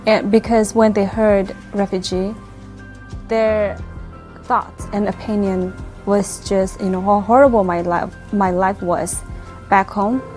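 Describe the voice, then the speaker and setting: A woman from Thailand speaks plainly and sincerely about how others see her. She talks as a community organizer sharing her own story. Soft music plays faintly behind her. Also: medium pitch, steady tone, takes it slowly. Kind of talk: personal testimonial.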